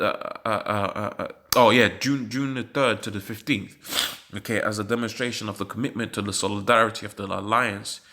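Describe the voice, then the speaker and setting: A British man, sniffly from hay fever, commenting on a news article with a religious slant, halting with many fillers and emphatic rhetorical questions. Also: on the low side, pushed and bright.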